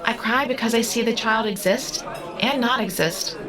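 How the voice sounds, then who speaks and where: A woman's voice: fairly high pitch, moderate pace, close to an everyday voice. A woman acting in an audio drama as a solemn, literal-minded girl who speaks formally and precisely. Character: solemn, literal-minded all-seeing girl under eighteen. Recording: busy club crowd and music behind.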